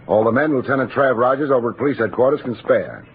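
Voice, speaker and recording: An actor playing a wry, wisecracking American hardboiled detective in an old-time radio drama, with dry, theatrical phrasing. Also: male voice, mid-range voice, animated, fast, natural voice. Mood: dry, narrating. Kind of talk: old-time radio detective acting and first-person narration. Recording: dull old radio broadcast, music, vehicle noise.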